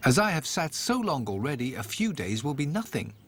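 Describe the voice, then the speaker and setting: A man's voice: a little high, sing-song, brisk, breathy edge. A man voicing a fussy, weary, proud mother duck in a storybook tale, fretful and exclaiming. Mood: stubborn. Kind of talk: storybook character voice.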